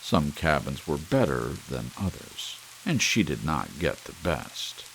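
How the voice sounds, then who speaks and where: A man reading an audiobook in an ASMR style, deliberately and with expressive phrasing, in a deep, gravelly voice. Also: slight background noise, low-quality recording. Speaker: audiobook narrator. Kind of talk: scripted ASMR audiobook narration. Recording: faint rain sounds behind voice. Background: steady soft rain.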